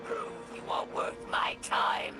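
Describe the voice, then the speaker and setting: Casting: actor as proud, battle-hungry alien general for a game, breathy growl, slow menacing delivery. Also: whispered, loud music behind the voice, natural voice. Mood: contemptuous challenge. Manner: taunting, clipped menacing phrases. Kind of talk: video game villain dialogue.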